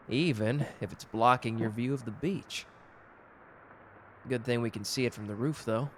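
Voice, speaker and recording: Male actor as cocky gallant gentleman, medium pitch, intimate teasing delivery, protective, romantic audio roleplay, some background noise. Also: measured pace, pauses often, lightly acted. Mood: teasing, friendly. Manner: suave, teasing, intimate one-sided conversation. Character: cocky, gallant, protective male loyal customer flirting with tailor. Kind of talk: romantic roleplay audio drama addressed to listener.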